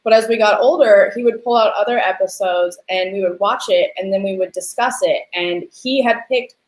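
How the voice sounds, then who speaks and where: A woman's voice: mid-range voice, normal speed. A woman hosting a discussion, sharing personal anecdotes in a casual conversational style. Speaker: host. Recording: roomy, echoey room sound.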